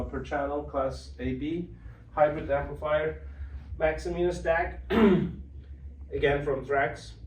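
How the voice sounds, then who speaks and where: A man giving an informal product presentation as an exhibitor, with a halting delivery and frequent throat clearing, recorded in an indoor demo room. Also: fairly high pitch, darkish tone. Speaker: exhibitor presenting an audio system. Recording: roomy, distant mic, faint background noise.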